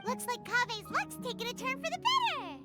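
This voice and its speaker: Tiny childlike fairy, squeaky, bubbly, extremely high, video game companion, loud music behind. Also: female voice, very animated, brisk. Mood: excited. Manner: squeaky, bubbly, speaks in third person. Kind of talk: English video game voice acting.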